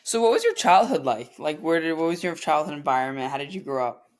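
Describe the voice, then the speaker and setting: A man's voice: a little high, sing-song, fast. A man hosting a podcast, asking long questions that he rephrases as he goes. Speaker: podcast host. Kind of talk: interviewing.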